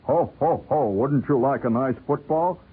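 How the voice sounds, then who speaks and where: Through thin, tinny old radio sound booms a hearty American department-store Santa, put on by a male storyteller. The voice is jolly and very husky, lifted above an everyday talking pitch, with broad storybook cheer. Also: measured pace. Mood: hearty, patronizing. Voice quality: booming, husky.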